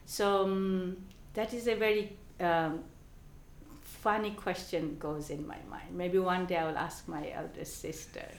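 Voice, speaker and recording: A woman from Bangladesh with a Bangladeshi accent, telling her life story in an oral history interview, halting often with ums and restarts. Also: lowish voice, fairly even intonation, echoey room, slight background noise.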